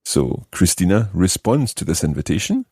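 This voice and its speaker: A man's voice: low voice, very animated, moderate pace, mellow, no echo. Man, language lesson host, very varied intonation, step-by-step explanations, close dry sound. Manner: clear step-by-step explaining, repeating phrases. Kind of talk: scripted explanatory teaching narration.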